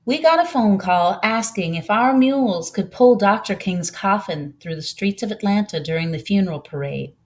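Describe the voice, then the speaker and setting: A woman as a proud, gentle older storyteller. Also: mid-range voice, animated, close to an everyday voice. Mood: solemn pride. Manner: earnest, unhurried storytelling.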